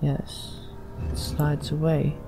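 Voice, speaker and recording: A man's voice: a little high, completely flat delivery, slow, gravelly. A man hosting a let's play, commenting in character and giving orders to a party over game music. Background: video game music.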